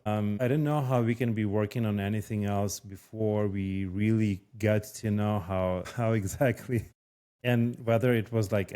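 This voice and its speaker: A man speaking as a podcast guest, musing aloud with many pauses and filler words. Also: lowish voice, mellow. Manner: musing, with many ums and likes. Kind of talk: casual interview talk.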